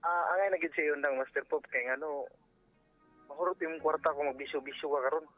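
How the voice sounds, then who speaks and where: A man with a Filipino accent calling in to a radio show, confiding casually in a halting, repetitive, deferential way. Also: fairly high pitch, steady tone, no room sound. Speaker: phone-in caller. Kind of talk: casual personal confession on radio. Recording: thin phone line, music underneath.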